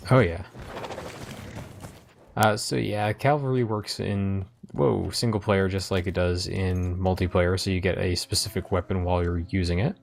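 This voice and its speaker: Low, creaky-voiced man hosting. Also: steady tone, normal speed. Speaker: host of a game let's play. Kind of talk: casual gameplay commentary.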